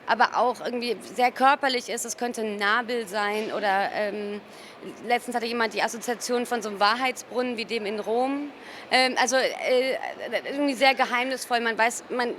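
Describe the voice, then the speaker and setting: A woman from Cologne speaking informally as a gallerist in an interview, often pausing mid-sentence to search for her words. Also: a little high, a bit bright. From Cologne, Germany. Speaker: gallerist presenting artworks. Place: busy exhibition hall at an art fair. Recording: noisy art fair ambience. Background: ambient fair noise.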